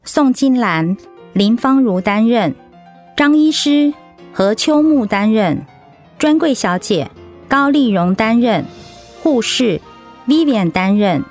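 Slow-paced woman announcer over music. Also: fairly high pitch, animated, a little mellow. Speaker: announcer reading cast credits. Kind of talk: formal scripted announcement. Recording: music under the voice.

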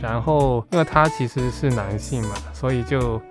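Hong Kong-accented man, low-pitched guest over music. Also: moderate pace. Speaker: guest illustrator. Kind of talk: casual explanatory interview talk.

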